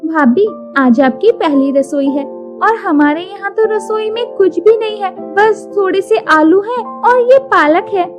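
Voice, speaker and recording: Woman putting on a very high, girlish village sister. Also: animated, a little slow. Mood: apologetic. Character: worried young village girl, the sister-in-law. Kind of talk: animated moral-story acting. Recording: music behind the voice.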